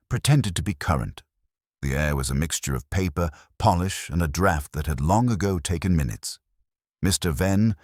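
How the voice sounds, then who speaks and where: Very deep-voiced man narrating, dry and measured. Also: a little mellow, no room sound. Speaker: narrator of a mystery drama. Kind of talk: scripted literary mystery narration.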